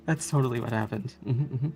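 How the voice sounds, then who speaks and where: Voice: medium pitch, warm tone. A speedrunner giving casual, giggly, easygoing live commentary while playing a video game.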